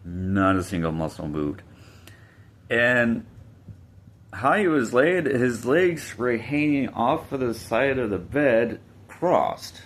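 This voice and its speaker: A man's voice: medium pitch, sing-song, takes it slowly. A man casually telling a personal story, hesitant, with fillers and restarts.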